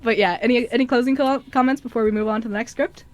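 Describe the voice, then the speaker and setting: A woman's voice: on the high side, steady tone, fast. A woman hosting a radio show in casual, chatty, giggly conversation. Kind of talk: casual chat.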